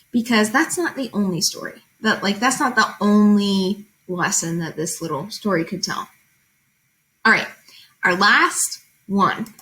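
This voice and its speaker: Bright-voiced woman teaching casually. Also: medium pitch, rough recording. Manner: informal, conversational, asks guiding questions. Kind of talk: casual lesson, reading fables aloud and explaining them.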